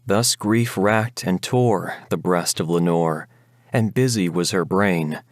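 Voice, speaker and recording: A man with a low voice recites a Gothic ballad as its narrator. His delivery is theatrical and slow, shaped to the verse, and the sound is very dry and close. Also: no room sound.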